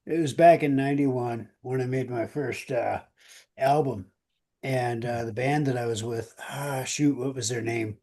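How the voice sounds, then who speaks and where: A middle-aged American man with a medium-pitched voice shares anecdotes in casual conversation. He sounds like someone talking off the cuff, easygoing in his wording. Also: unhurried, a little mellow. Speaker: musician.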